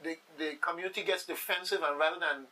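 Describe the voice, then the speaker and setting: A man's voice: fairly high pitch, fairly even intonation, quick pace, sharp tone, far from the mic, low-quality recording. A man hosting a radio panel discussion, conversational and repeating phrases for emphasis. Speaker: radio talk show host.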